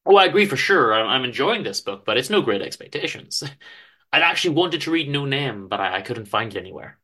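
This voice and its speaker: A man's voice: mid-range voice, big pitch swings, fairly quick, sharp tone. A man voicing a friendly, eager young woman who loves books, polite and a little hesitant, in a story reading. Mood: friendly, keen.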